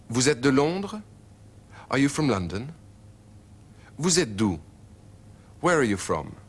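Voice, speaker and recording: A man narrating a language lesson, repeating phrases slowly and clearly. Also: medium pitch. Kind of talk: scripted teaching narration.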